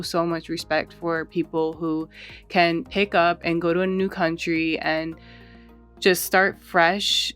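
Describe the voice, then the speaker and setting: A woman chatting casually while she draws, relaxed and rambling, often saying "like" and "you know". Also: on the low side, clean tone. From United States. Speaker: art channel host. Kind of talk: casual chat while drawing.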